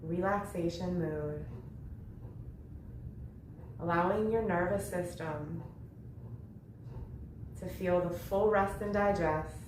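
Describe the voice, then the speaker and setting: A woman leading a guided yoga meditation, speaking gently in short, unhurried phrases with long pauses between them. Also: on the low side. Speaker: yoga nidra meditation instructor. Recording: roomy echo with faint background noise.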